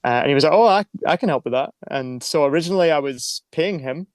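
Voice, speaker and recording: A man's voice: fairly high pitch, fast talker, dry recording. A man with a Scottish accent, a musician telling stories casually as an interview guest. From Scotland. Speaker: band singer being interviewed. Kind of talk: casual storytelling.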